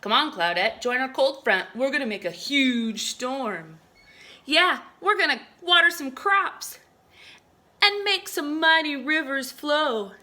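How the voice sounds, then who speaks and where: Woman as a loud, eager big storm cloud. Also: high-pitched, animated, slow pace, pitched above the performer's own voice, heavily acted. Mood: eager, urging. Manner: loud, urging. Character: big busy self-important clouds, eager and bossy.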